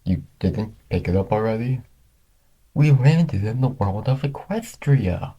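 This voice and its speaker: A man voicing an excitable young male talking pony who knows his world, in a cartoon fan story. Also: lowish voice, measured pace, husky, lo-fi sound, heavily acted. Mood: excited.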